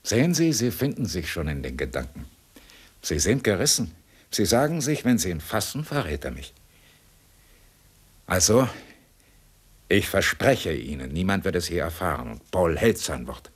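An actor playing a cool, sardonic escaped convict in a German radio crime drama, mocking and coaxing with cunning politeness. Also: male voice, low voice, sing-song, moderate pace, pauses often, husky, heavily acted. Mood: coolly persuasive.

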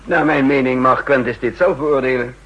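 An actor playing a composed double agent who narrates his own story in a measured, introspective way, in a 1960s radio drama. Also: medium pitch, fairly bright tone, slightly rough. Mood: grave, controlled. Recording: muffled old 1960s radio recording.

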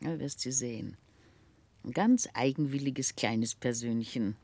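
An actress playing a warm-hearted, motherly middle-aged housekeeper in a 1960s radio play, effusive and chatty. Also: rumbling low, measured pace, breathy edge, heavily acted. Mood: fond, confiding. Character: warm-hearted, emotional older housekeeper, fussing motherly wife. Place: dry radio studio.

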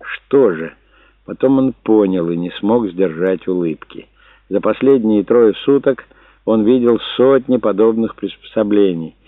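A man's voice: fairly low pitch, a little slow, dry recording. A man reading a novel aloud as a dramatic, scripted audiobook narration. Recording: dull narrow phone-like sound.

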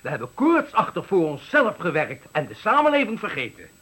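An actor playing an embittered, eloquent factory worker of sixty-five, giving a dramatic, deliberate farewell speech in a radio play. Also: male voice, sits high, huge pitch swings, a bit bright, husky, full character voice. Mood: indignant, impassioned. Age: 60s. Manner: oratorical, dramatic, deliberate phrasing. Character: embittered eloquent 65-year-old male factory worker at his retirement.

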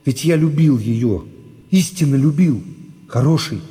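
Man acting a remorseful, anguished nobleman, mellow-voiced. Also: on the high side, animated, a little slow, slightly rough. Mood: tender, pained. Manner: anguished, emphatic interior monologue. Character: remorseful nobleman agonizing over his guilt.

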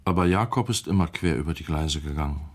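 A man's voice: rumbling low, fast. A man with a North German accent giving a literary audiobook reading of a novel.

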